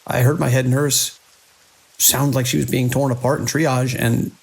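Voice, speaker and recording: A man playing a weary, alarmed hospital director in an audio drama, speaking grave, deliberate log entries in clipped sentences. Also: medium pitch, flat delivery, gravelly, breathy edge. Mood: angry, fed up. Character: weary, alarmed hospital director recording logs during an outbreak.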